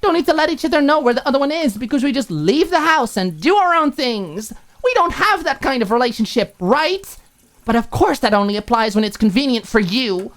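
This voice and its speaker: A woman's voice: sits high, very animated, fairly quick, voice pushed up. A woman voicing a furious, hurt young roommate in an audiobook, firing off sarcastic, emphatic rhetorical questions. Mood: exasperated rant. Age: young adult. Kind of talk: audiobook character acting.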